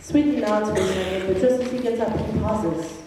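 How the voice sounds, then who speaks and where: A woman's voice: medium pitch, brisk. A young woman acting as narrator in an amateur dramatic reading of comic fanfiction. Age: young adult. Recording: echoey hall, distant mic, faint noise.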